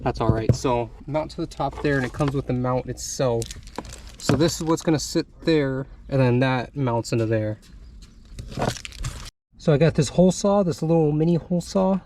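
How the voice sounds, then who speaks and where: A man's voice: mid-range voice, moderate pace, noisy background. Laid-back guy narrating a truck install. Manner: relaxed, thinking aloud with fillers. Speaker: vlogger installing a CB radio. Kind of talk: casual how-to vlog narration. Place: inside a pickup truck cab.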